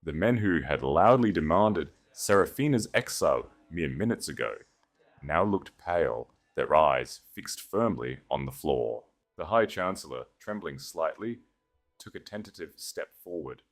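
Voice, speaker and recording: A man's voice: extremely low pitch, expressive, a little slow. A man delivering dramatic, scripted narration of a story as its narrator.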